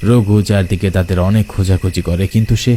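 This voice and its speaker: A man's voice: low voice, fairly even intonation, brisk, warm tone, a little hiss or hum. A man narrating a scripted horror story as storyteller, with night crickets behind him.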